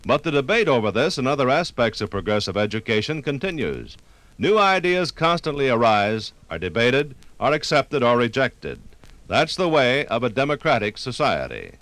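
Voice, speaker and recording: American man, mid-pitched, lively intonation, vintage documentary narrator, oratorical, dry close sound. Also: no echo. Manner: oratorical, measured phrasing. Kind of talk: scripted 1950s radio documentary narration.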